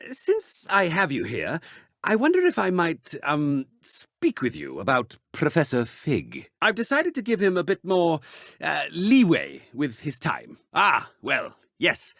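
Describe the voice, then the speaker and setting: A man's voice: high-pitched, huge pitch swings, a little slow, fairly bright tone, no echo, clean studio sound, heavily acted. A man acting as a pompous, haughty headmaster in a video game, theatrical and given to flustered stammering. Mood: flustered, bluffing. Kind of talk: scripted game dialogue.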